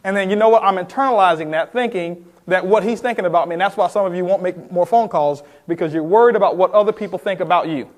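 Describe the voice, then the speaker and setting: High-pitched emphatic man giving sales training. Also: fast talker, hardly any pauses. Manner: emphatic, addresses the audience with questions. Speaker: sales trainer, seminar speaker. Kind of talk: motivational sales seminar talk with role-played phone calls.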